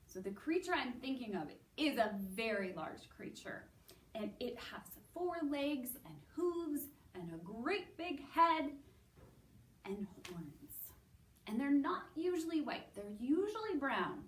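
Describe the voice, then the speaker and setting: A woman with a Canadian accent presenting to young children as a story time host, in an animated way with simple, drawn-out wording. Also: high voice. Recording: roomy, distant mic with background noise.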